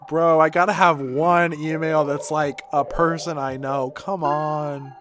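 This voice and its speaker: A man's voice: a little high, level tone, measured pace, music in the background. A man doing casual, rambling game stream commentary, giving opinions informally and sometimes reading lines aloud.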